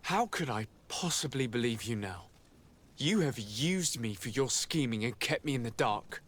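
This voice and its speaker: An actor playing an earnest young noble envoy in a video game, speaking directly and with formal wording. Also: male voice, medium pitch, expressive, moderate pace, a bit bright. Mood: hurt. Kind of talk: video game cutscene acting.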